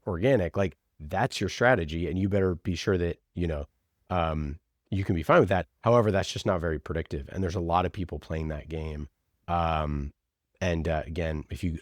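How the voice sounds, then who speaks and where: A man's voice: sits low, darkish tone, no echo, studio quality. A man giving conversational marketing advice as an interview guest, informal, opinionated and emphatic, often voicing imagined quotes. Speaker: podcast producer, interview guest.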